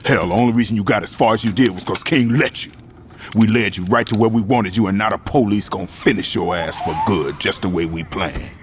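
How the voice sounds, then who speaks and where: An actor playing a tough American gang enforcer in a video game cutscene, gruff and menacing but loyal. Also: male voice, fairly low pitch, a little fast, slight background noise, lightly acted.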